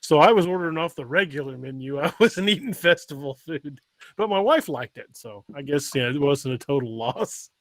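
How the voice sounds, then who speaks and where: A man's voice: on the high side, lively intonation, normal speed, fairly bright tone, dry recording. A man hosting a casual livestream, informally chatting and reading out comments from listeners with some salty language. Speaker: podcast host reading live chat comments.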